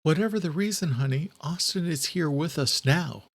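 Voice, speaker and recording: Man voicing a confident, insistent woman, raised pitch. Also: moderate pace. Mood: gentle.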